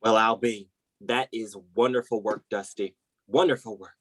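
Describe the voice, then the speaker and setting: An actor playing an effusive, rambling, over-eager team manager, who stumbles and repeats himself, in a comedy web series. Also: male voice, on the low side, fairly even intonation, normal speed, no room sound, lightly acted. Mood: gushing.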